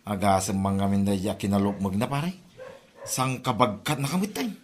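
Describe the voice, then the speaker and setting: A man's voice: on the low side, normal speed, slight background noise, lightly acted. A man with an Ilocano accent playing a needling drinking buddy who mocks his pal in a comedy drama podcast. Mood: mocking.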